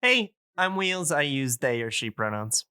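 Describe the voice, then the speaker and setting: A man explains things casually as a podcast guest. His voice is bright and smooth at a middle pitch, in a very dry recording. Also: very animated, a little slow, dry recording.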